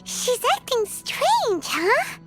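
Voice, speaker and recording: A woman voicing a tiny childlike fairy companion in an anime video game, excitable and chatty in a squeaky, cutesy voice, over game music. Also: drawn-out words, exaggerated voice. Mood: puzzled. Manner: cutesy, exclamatory, speaks of herself by name.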